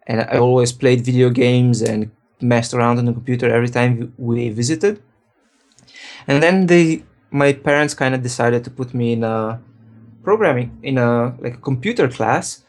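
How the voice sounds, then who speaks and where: A man from Slovenia, a programmer, answering interview questions in a relaxed way, thinking aloud and correcting himself. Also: medium pitch, moderate pace. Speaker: programmer and guest.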